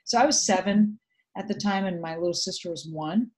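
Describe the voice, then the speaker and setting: Low-voiced woman from Vietnam, reflective. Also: a little fast, darkish tone. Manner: reflective, hesitant with many fillers. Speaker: guest. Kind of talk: video call interview. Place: home room indoors. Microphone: video call webcam.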